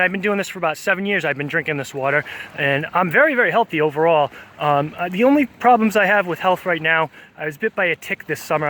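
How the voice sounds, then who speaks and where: A man's voice: on the high side, pushed and bright. Rambling New man on a beach. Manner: rambling, hesitant, many fillers and self-corrections. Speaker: vlogger. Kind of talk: casual walking vlog monologue. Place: outdoors on a small beach.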